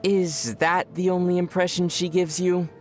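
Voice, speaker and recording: Calm young adventurer played by a man, dryly teasing. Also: high-pitched, moderate pace, faint music behind, close to an everyday voice. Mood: calm, advising. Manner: calm, dry, wry teasing. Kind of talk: video game voice acting.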